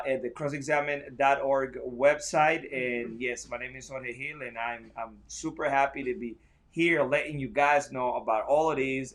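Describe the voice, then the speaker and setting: A man's voice: mid-range voice, fairly bright tone. A man from Costa Rica, a ministry director, talking earnestly and upbeat in a casual livestream chat from a hotel. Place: hotel room.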